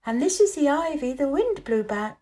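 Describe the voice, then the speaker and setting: A woman's voice: high-pitched, sing-song, moderate pace, mellow, pitched above the performer's own voice. A woman voicing an eager, secretive young girl in a storybook, breathless and urgent. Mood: solemn delight. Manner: breathless, urgent, solemn delight.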